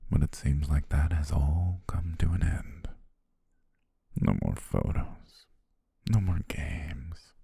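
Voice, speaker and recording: A man playing an obsessive, possessive photographer in a hushed, intimate ASMR roleplay, speaking slowly in a husky, gravelly half-whisper. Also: extremely low pitch, no echo, clean studio sound, full character voice. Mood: obsessive, confessing. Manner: slow, hushed, deliberate, with drawn-out pauses. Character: obsessive male yandere photographer, soft-spoken, possessive, menacing.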